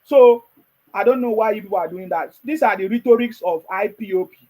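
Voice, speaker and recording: A man's voice: high-pitched, a little fast, narrow, tinny audio. A man with a Nigerian accent hosting and moderating a live political call-in discussion. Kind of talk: moderating a political talk show.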